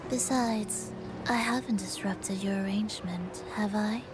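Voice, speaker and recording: A woman playing an aloof, scheming villainess in a video game, speaking in a soft, breathy, theatrical and coolly teasing way over music. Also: mid-range voice, steady tone, unhurried, natural voice. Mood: cool, ominous. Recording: loud music behind the voice. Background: loud game music.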